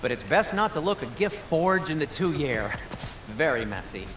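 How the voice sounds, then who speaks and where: A man playing a fussy, fastidious, chatty dwarf blacksmith in a video game, with animated, quick-witted delivery. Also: fairly high pitch. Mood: wry, chatty. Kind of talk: video game cutscene acting. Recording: echoey space, music behind.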